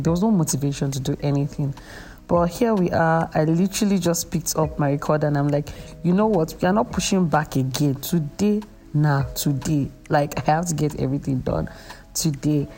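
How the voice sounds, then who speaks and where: A young woman with a Nigerian accent chats with her podcast listeners, low in pitch and mellow. She jokes at her own expense and pauses often with fillers, with faint music behind. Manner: chatty, self-mocking, hesitant with many fillers. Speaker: podcast host. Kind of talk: casual chat.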